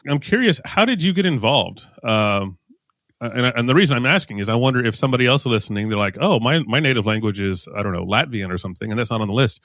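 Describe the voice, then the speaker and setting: Fast-talking podcast host, dry close sound. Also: male voice, medium pitch, lively intonation, a bit bright, no room sound. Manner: friendly and quick, brief backchannel replies. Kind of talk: casual interview conversation.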